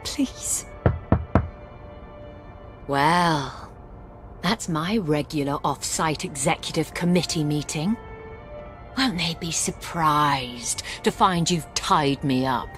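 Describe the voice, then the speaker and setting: A woman's voice: sits low, takes it slowly, long pauses, a bit bright, faint music behind. An actress playing a desperate, imperious older woman in a video game, genteel and unhinged. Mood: wheedling, sly.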